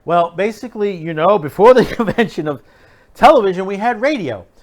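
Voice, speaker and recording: A man hosting an online show, giving casual opinion commentary straight to viewers in a halting way, often repeating his phrases. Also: high-pitched, sing-song. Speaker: YouTube show host.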